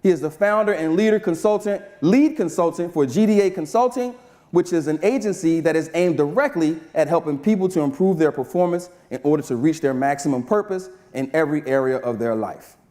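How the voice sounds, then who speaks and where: A man's voice: on the high side, fairly quick, warm tone. A man hosting a men's breakfast show, upbeat and conversational, who reads the guest's bio and then keeps asking "right?" as he talks. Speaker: host. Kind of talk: casual interview. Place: event room with a live audience.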